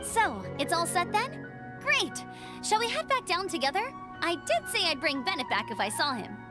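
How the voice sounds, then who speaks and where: Game scout girl: very high, lively pitch, eager and friendly, music behind. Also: normal speed. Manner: bright, exclamatory, helpful. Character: eager, friendly, energetic young female scout. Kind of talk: video game voice acting.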